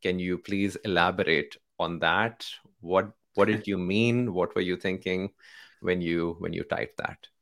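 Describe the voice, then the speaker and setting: A man's voice: low-pitched, fairly even intonation, a little slow, a bit bright. A man from Pakistan hosting a casual conversation, hesitant, with many fillers and restarts. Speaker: host.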